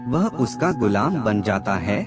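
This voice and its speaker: A man reading scripted moral aphorisms as a narrator, in a measured, formal recitation. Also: on the low side, big pitch swings. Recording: music bed under the voice. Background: background music.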